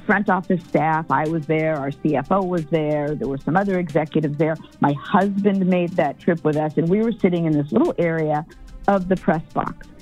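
A woman, a former team executive, telling a story in a documentary interview in a loud, forthright way. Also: low voice, normal speed, muffled recording, soft background music.

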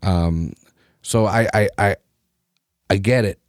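A man from Mexico, hosting a podcast in a profane, animated rant full of mocking voices. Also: on the low side, slow pace, no room sound, studio quality. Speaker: podcast host. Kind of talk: casual opinionated rant.

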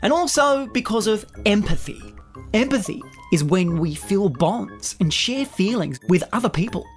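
A woman playing a silly, cheeky, excitable children's show host, chatty and theatrical in a scripted podcast. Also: mid-range voice, moderate pace, faint music behind, close to an everyday voice. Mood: playful, explaining. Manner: exclamatory, theatrical, chatty to young listeners.

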